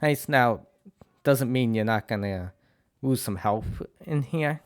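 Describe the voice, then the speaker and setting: A man with a New England accent and a slight lisp, talking through a video game mission in a relaxed, rambling way while fighting a cold. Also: mid-range voice, slow pace, dry recording, clean studio sound. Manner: relaxed and rambling, many "uh"s and restarts. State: has a cold, clearing his throat. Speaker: game guide creator. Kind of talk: casual gameplay walkthrough commentary.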